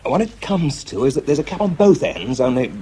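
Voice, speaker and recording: A man acting in a radio drama as an exhausted, shaky, methodical bomb disposal expert, speaking haltingly under strain. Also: medium pitch, very animated, dark tone, a little hiss or hum, close to an everyday voice. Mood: tense, concentrating. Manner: halting, hesitant, muttering as he works. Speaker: actor and narrator in a radio drama. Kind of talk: radio drama acting, first-person monologue.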